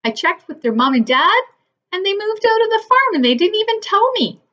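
A woman voicing the big bad wolf in a children's story, calling out loudly and demandingly. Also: very high voice, big pitch swings, a little fast, voice pushed up, full character voice. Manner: loud demanding calls. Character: big bad wolf, loud bossy male. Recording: dull lo-fi sound.

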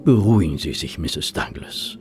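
An actor playing a kindly gentleman companion to a detective in a radio play, with some noise behind him. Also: low-pitched, animated, normal speed. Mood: soothing.

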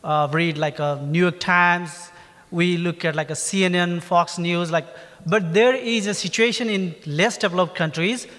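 A man with a Nepali accent asking an audience question at a stand microphone, halting and full of filler phrases. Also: high-pitched, steady tone, fairly bright tone. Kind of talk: unscripted public question. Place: theatre, standing below the stage. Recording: reverberant theatre hall.

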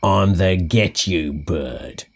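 A man voicing a menacing male bird of prey, deliberate and threatening, in a storybook. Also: low-pitched, animated, vocal fry, slightly rough, voice pushed down, full character voice. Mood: menacing, gloating.

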